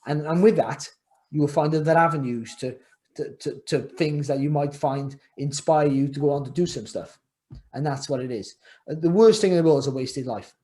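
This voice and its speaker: A middle-aged man talks over a video call from his home. His voice sits a little high for a man. He speaks earnestly, doubles back on his words and leans hard on key lines. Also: normal speed, dark tone. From Wales. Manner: earnest, repeats phrases and restarts, rising to emphatic. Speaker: podcast guest, former boxer. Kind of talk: casual interview chat. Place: home room, indoors.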